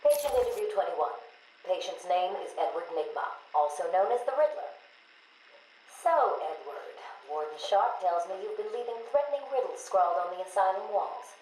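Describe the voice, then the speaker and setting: A woman playing a measured, patient psychiatrist in a game, with a formal, clinical and quietly weary manner. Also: medium pitch, expressive, roomy sound, a little hiss or hum, close to an everyday voice, rough recording. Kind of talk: video game voice acting.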